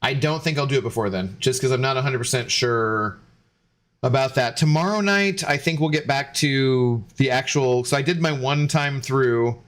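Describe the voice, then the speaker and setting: A young man, a game streamer, chatting loosely with viewers while tipsy, rambling with many fillers and restarts. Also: mid-range voice. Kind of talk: casual stream chat to viewers.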